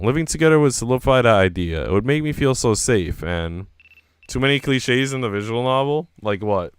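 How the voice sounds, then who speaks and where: A man's voice: on the low side, clean tone, dry recording. A man reading visual novel narration aloud in a live, casual way, now and then restarting a line.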